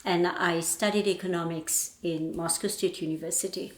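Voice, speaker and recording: An older woman from Bangladesh with a Bangladeshi accent, reflecting on her life as a painter in a thoughtful, halting way with pauses. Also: lowish voice, steady tone. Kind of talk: reflective personal interview. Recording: roomy, echoey indoor sound.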